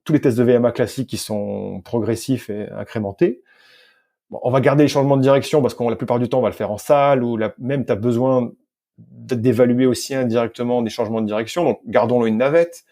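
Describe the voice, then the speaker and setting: Man, informal and halting, slightly mellow. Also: medium pitch. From France. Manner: informal, colloquial, halting with repeated words and self-corrections. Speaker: podcast guest, sports scientist and physical coach. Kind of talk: casual interview storytelling.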